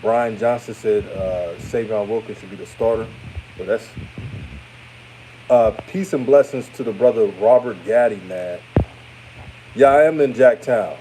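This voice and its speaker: Man, thirty, older-sounding radio voice, dark relaxed tone, casual sports host, slightly noisy recording. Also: on the low side, a little slow, long pauses. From Houston, Texas, United States. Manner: conversational, addresses viewers as family. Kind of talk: casual livestream postgame chat, reading and answering comments.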